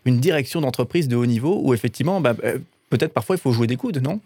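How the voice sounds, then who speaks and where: A man's voice: mid-range voice, animated, fast, mellow, dry recording. Male interviewer asking rambling questions. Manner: long rambling questions with fillers.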